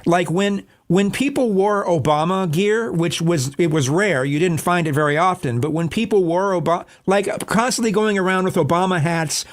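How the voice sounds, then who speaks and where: A man talking as a guest on a casual political panel, halting and thoughtful with many restarts. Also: high voice.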